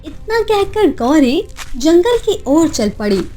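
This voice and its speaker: A woman narrating a scripted animated moral story. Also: very high voice, slow, faint music behind, heavily acted.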